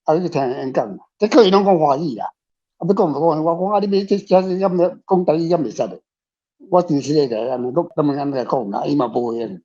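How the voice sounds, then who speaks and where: Older male author guest, mellow and husky, halting informal talk, slightly dull recording. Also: fairly high pitch. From Taiwan. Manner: repetitive, halting phrasing. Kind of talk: informal discussion. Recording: slightly muffled, faint guitar music behind. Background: faint guitar music.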